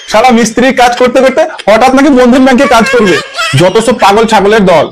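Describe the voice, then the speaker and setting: A man's voice: extremely high pitch, normal speed, music in the background. A man voicing a smug cartoon bank worker with a sneering, condescending way of talking. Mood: mocking. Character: smug, mocking male bank worker, young adult. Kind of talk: amateur cartoon comedy dub.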